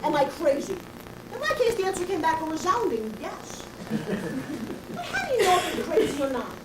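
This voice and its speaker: A woman playing an eccentric, brash homeless bag lady with a raspy voice, who talks straight at the passersby in a comic stage monologue. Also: very high voice, big pitch swings, a little fast, echoey room, far from the mic, very noisy. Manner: confrontational, addresses passersby directly. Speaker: actress performing a stage monologue.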